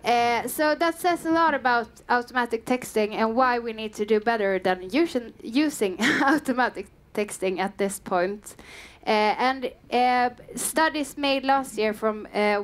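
Southern Swedish-accented woman presenting, fairly high voice. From Sweden. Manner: chatty, frequent um and uh fillers, light self-deprecating humor. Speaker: content manager giving a meetup talk. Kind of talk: conference presentation. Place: dim meeting room with a small audience. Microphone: headset mic.

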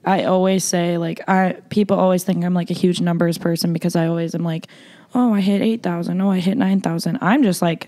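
A young woman, a podcast guest, chatting bluntly and loudly with lots of "like" fillers. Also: fairly low pitch. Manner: loud, blunt, frequent "like" fillers. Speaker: podcast guest, makeup artist. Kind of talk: casual interview chat.

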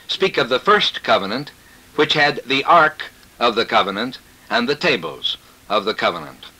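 A male preacher with a bright, forceful voice at an average pitch delivers a scripted scriptural sermon. His phrasing is formal and measured, each statement set out declaratively. It sounds like an old cassette tape recording. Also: low-quality recording.

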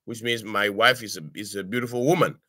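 An older man from Cameroon teaching a casual online language lesson, his voice bright and forceful. He speaks haltingly, stacking up fillers and restarts and asking "okay?" after each point. The webcam sound from his home study is dry and close. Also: on the low side, fairly even intonation, no room sound. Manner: halting, many "uh"s and restarts, frequent "okay?" check-ins. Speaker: language tutor. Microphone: webcam on a video call.

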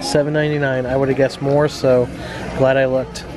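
A man's voice: medium pitch, level tone, moderate pace, over loud music, poor audio. A man in his forties with an American accent, chatting casually as a vlog co-host and reading out prices as he shops. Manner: chatty, reads out prices while shopping. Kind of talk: casual chat. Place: parked car at night.

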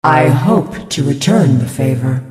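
A woman playing a serene, devout female spirit healer in a video game, speaking in a measured, ceremonious, pious way. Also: extremely low pitch, expressive, a little mellow, far from the mic. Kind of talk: video game character voice lines. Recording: reverberant hall effect, music behind.

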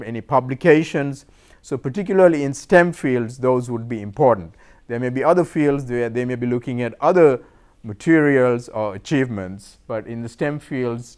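A middle-aged man from Sri Lanka lectures to an audience in a room, his voice smooth and resonant at an ordinary pitch. He talks in a measured way and often stops on "uh" and "um" or repeats a word while he finds the next one. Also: a little mellow. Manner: measured, hesitant with many fillers and repeated words. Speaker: university professor giving a talk. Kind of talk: informal lecture presentation. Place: lecture room in a cultural center.

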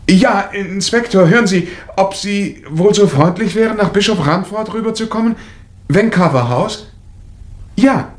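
An actor playing a cool, wry amateur detective in a 1960 radio mystery, with dry, theatrical banter. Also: male voice, sits high. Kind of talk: 1960 German radio mystery play acting. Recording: vintage vinyl radio play, noticeable surface noise.

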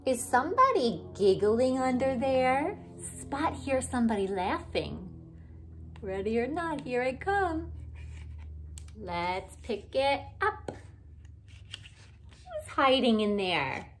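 A woman reads a picture book to young children in a high, very animated voice. She takes it extremely slowly, using simple, exaggerated phrases. She keeps asking questions as a teacher would, inviting the listener to join in. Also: soft background music. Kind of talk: interactive read-aloud for young children. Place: living room at home, indoors.

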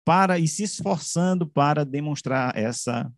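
A man with a Brazilian accent giving an explanatory academic presentation over a video call, halting as he repeats and corrects his words. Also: fairly high pitch, dry recording, studio quality. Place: indoor room, on a video call. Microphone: video call microphone.

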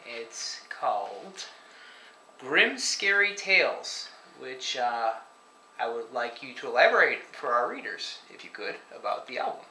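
A man's voice: mid-range voice, sing-song, a little slow, pushed and bright, distant mic. A man in his thirties interviewing a musician for a magazine, asking questions hesitantly with fillers and wry jokes, at a home dining table. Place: dining room at home, indoors. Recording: echoey room with faint noise.

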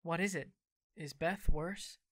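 A woman's voice: fairly low pitch, takes it slowly, no room sound. A woman voicing Laurie, a kind, reassuring young man, in an audio drama. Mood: gentle. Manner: gentle, reassuring.

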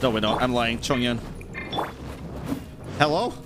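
A man's voice: mid-range voice, measured pace. A man in his thirties giving playful, slangy live gameplay commentary and chatting to his viewers. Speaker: video game streamer. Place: indoor room at a streaming setup. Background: game music and sound effects.

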